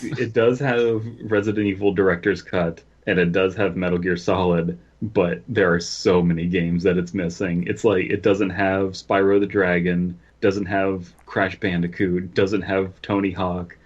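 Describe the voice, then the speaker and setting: A man's voice: deep voice, level tone, unhurried, hardly any pauses, slightly rough. A man co-hosting a podcast in casual, joking chat. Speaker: podcast co-host. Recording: dull, lo-fi microphone sound.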